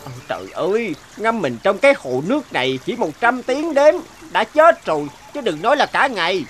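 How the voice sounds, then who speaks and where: A worried village man in a cartoon folktale, voiced by a man. He exclaims and laments with pity, his pitch moving up and down over music. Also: sing-song, a little fast, fairly bright tone. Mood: alarmed. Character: pitying, alarmed male village onlooker. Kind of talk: dubbed folktale cartoon acting.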